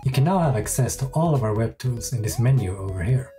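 A man, a design engineer, giving an animated, enthusiastic scripted tip. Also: mid-range voice, fast talker, distant mic, soft background music.

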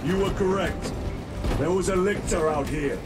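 A stern armored soldier from a cinematic game, acted by a man, giving terse orders with authority. His voice sits a little high for a man, and heavy music plays behind him with a vehicle rumble. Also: normal speed, close to an everyday voice. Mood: stern, controlled. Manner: terse, clipped, commanding. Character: stern, commanding armored male space marine officer. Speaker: space marine officer. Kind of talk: cinematic video game acting.

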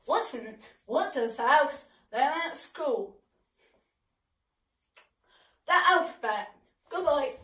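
An amateur pantomime performer giving introductions and jokes in a drawn-out, declaiming way. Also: high voice, lively intonation, noisy background. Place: living room at home.

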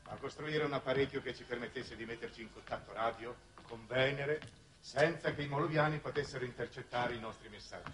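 Pompous colonial minister played by a man, clipped and disapproving, mid-pitched, echoey vintage TV drama. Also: a little fast, fairly bright tone, slight background noise, natural voice. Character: pompous, disapproving male minister for extraterrestrial territories. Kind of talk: 1960s Italian television drama acting. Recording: echoey television studio.

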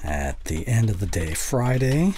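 A man playing a tender, anxious young boyfriend in an ASMR audio drama, speaking intimately and haltingly with breathy sighs. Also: on the low side, big pitch swings, dark tone, slight background noise. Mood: relaxed, musing.

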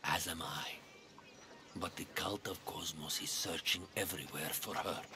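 Man playing a deep-voiced troubled townsman. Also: steady tone, moderate pace, lightly acted. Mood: pleading, grim. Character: troubled, scheming male ancient Greek townsman. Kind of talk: video game dialogue acting.

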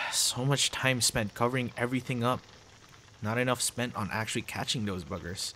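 A man playing a cute, teasing young boyfriend with a hidden sinister side in an ASMR audio roleplay, soft-spoken and casual. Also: mid-range voice, moderate pace, slight background noise, close to an everyday voice, low-quality recording. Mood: concerned, casual.

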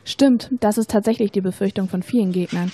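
A young woman playing an informed, persuasive student in a scripted educational audio drama, explaining things in a measured, rehearsed way. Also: medium pitch, mellow, husky, close to an everyday voice. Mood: matter-of-fact, explanatory. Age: young adult. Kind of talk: scripted educational radio-play dialogue.

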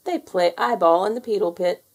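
Creaky-voiced woman reading a picture book. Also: medium pitch, normal speed. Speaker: children's story reader. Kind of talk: picture book read-aloud.

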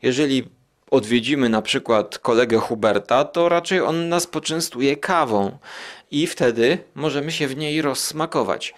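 Male podcast host, medium pitch; casual solo musing, meandering and hesitant, checking in with short tag questions. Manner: rambling, digressive, hesitant pauses with self-questioning tags. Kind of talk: casual spoken monologue, musing aloud.

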